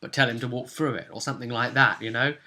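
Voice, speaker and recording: Bright-voiced man recapping a tabletop game. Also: mid-range voice, steady tone, fast, slight background noise. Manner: informal, rambling storyteller. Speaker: game master. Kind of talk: casual recap of a tabletop role-playing session.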